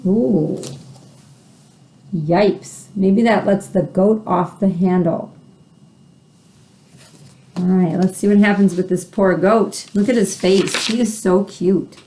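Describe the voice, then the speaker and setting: A woman's voice: medium pitch, fairly even intonation, slow pace, pauses often, dark tone. A woman reading a children's picture book aloud, adding chatty asides and simple explanations for young listeners. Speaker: read-aloud host.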